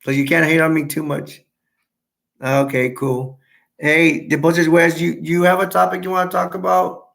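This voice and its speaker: A young man from Texas chatting casually on a live stream, answering viewers in a rambling, halting way with many restarts. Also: a little high, flat delivery, gravelly, a bit bright. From Texas, United States.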